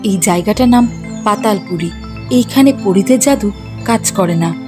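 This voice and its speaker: A woman's voice: mid-range voice, normal speed. A woman reading scripted fairy-tale narration as the storyteller. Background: faint music.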